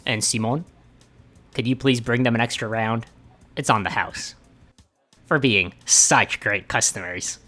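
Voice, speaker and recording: A man playing a calm, sly, protective bar boss in a fan-dubbed visual novel, speaking in a measured, polite way. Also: medium pitch, faint music behind. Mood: scheming.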